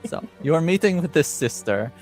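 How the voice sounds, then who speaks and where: A man casually improvising as game master of a tabletop game, in a hesitant, halting manner. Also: fairly high pitch, very animated, a little mellow, faint music behind.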